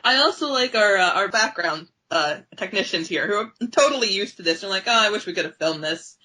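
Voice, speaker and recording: A woman co-hosting a casual discussion podcast, talking with clear enthusiasm. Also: medium pitch, expressive, a little fast, sharp tone. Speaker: podcast host.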